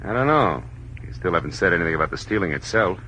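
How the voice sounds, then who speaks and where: A man's voice: low voice, lively intonation, quick pace, bright, forceful tone, a little hiss or hum, poor audio. A man acting a tough, sardonic American private detective in 1940s radio drama style, with clipped hard-boiled delivery. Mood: skeptical, sardonic. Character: hard-boiled wisecracking private detective, narrating his own case. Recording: old radio recording with music.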